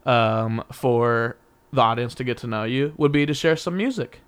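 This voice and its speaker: Chatty host with a slight twang. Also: male voice, mid-range voice, normal speed, clear, resonant tone. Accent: slight Midwestern twang. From Kansas. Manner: rambling, joking, many restarts. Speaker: podcast host. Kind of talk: casual chat.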